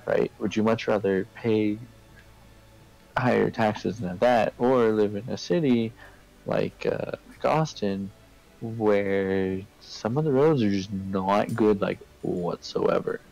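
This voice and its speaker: A low-pitched man talks at a very unhurried pace with a fair amount of vocal fry. He is in a casual debate, laying out his argument step by step. He keeps checking that the listener follows. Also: darkish tone, faint music behind, poor audio. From Canada. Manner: explanatory, repeatedly checks in with "right?" and "okay?". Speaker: participant in a group discussion.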